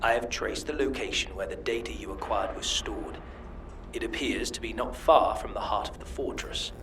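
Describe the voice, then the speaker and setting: An actor playing an intense, scheming alien scholar in a video game, speaking in a formal, deliberate, theatrical way. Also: male voice, on the low side, music in the background, natural voice. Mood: calculating.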